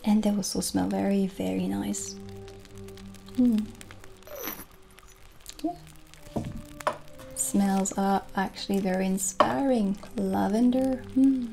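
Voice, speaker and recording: A woman in her thirties playing a kindly witch shopkeeper in a gentle, soothing, soft-spoken ASMR roleplay. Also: mid-range voice, fairly even intonation, slow pace, creaky, pauses often, low-quality recording. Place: small candlelit indoor set. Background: soft background music.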